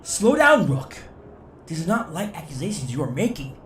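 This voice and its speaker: Firm commander in echoey room. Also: male voice, fairly high pitch, sing-song, a bit bright, faint music behind. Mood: wary. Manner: measured, firm. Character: cautious authoritative male senior commander. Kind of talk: sci-fi audio drama acting. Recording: echoey room, clear ambient noise.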